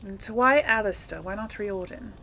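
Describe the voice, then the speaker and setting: A woman's voice: mid-range voice, normal speed, bright, forceful tone, slight background noise, low-quality recording. A woman hosting a casual role-play game playthrough, thinking aloud and hesitating as she goes. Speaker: video game player and series host.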